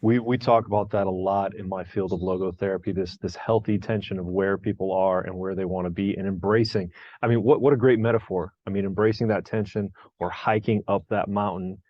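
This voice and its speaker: A man's voice: sits low, fairly even intonation, a little fast, dry recording. A man hosting a podcast, interviewing in a conversational style. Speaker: podcast host. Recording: dull, lo-fi close recording.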